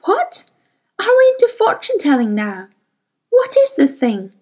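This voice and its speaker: A woman's voice: very high-pitched, huge pitch swings, measured pace, mellow, voice pushed up, heavily acted. A woman reading aloud, voicing a tired, exasperated woman scientist who talks in a clipped, skeptical way.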